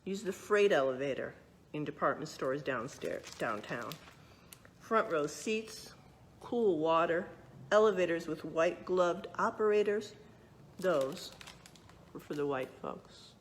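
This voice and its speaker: A woman's voice: low voice, animated, measured pace, a little hiss or hum. A woman reading a picture book aloud expressively, adding explanatory asides and questions for young listeners. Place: museum exhibit space.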